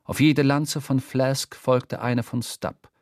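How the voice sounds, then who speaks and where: A man's voice: medium pitch, slow pace, dry recording. Male radio-drama narrator, close and dry. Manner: expressive storyteller.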